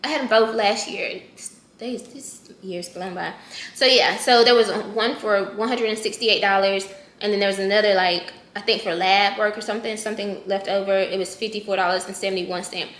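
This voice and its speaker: Bright-voiced woman in her thirties chatting. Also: mid-range voice, fairly even intonation, normal speed, echoey room. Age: 30s. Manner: conversational, self-correcting, talks straight to viewers. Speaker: personal finance vlogger. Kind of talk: casual chatty check-in.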